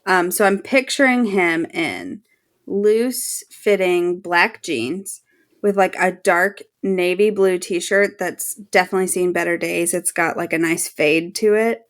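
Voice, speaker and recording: A woman's voice: lowish voice, a little slow. A woman guest on a podcast, chatting casually and informally as a fan.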